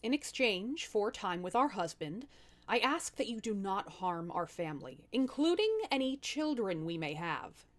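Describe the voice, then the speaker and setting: A woman's voice: mid-range voice, big pitch swings, measured pace. A woman voicing a bold, shrewd young wife who speaks in a sassy, direct way in a comic folktale. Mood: diplomatic. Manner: sassy, direct, modern slangy delivery.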